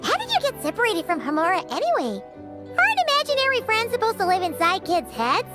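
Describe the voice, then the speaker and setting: A woman voicing a tiny, excitable fairy companion in a video game, squeaky, childlike, blunt and curious. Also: quick pace, loud music behind the voice, exaggerated voice. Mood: cheerful, curious. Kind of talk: animated video game dialogue.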